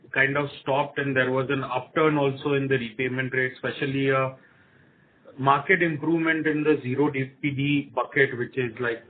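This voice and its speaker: A man with an Indian accent speaking as a company executive on an earnings call, in a formal, measured manner. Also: medium pitch, a little hiss or hum. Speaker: company executive presenting results. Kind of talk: earnings call presentation and answers. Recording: muffled, narrow phone conference line.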